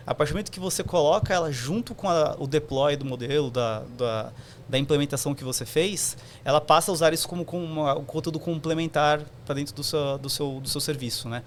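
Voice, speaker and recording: A man's voice: fairly high pitch, fast talker, a little hiss or hum. A man, a podcast guest explaining technical concepts conversationally, with many fillers.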